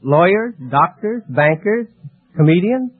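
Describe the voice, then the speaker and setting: A man's voice: high-pitched, lively intonation, unhurried. A man from California answering a quiz host in a hesitant, plainspoken way. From Watts, California. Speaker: quiz show contestant, masseur. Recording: very noisy, narrow old radio broadcast.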